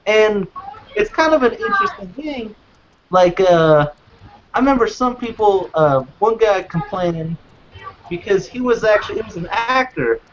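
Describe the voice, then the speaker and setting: A man's voice: high voice, a little hiss or hum. A man co-hosting a podcast in casual chat, talking in a loose, profane, rambling way with many restarts. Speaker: podcast co-host. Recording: dull lo-fi podcast audio.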